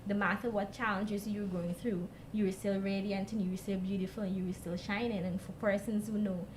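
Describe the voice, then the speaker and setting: Guyanese woman telling her story over clear noise. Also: mid-range voice, level tone, moderate pace. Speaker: guest, youth mental health advocate. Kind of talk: personal storytelling in an interview. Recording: clearly noisy background.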